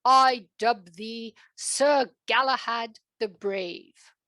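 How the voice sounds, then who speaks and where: A woman voicing a kindly, regal King Arthur in a storybook, with a measured British accent. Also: fairly high pitch, no echo, clean studio sound, full character voice. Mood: proud, ceremonial. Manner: soft, measured, regal speech. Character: warm, kindly King Arthur, adult man, amused and regal.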